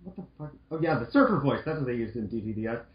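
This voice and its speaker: A man's voice: mid-range voice, expressive, racing. A man talks casually, muttering his asides and sometimes swearing. The sound is roomy and a little dull, as from a lo-fi mic. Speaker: co-reader. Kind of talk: casual chat.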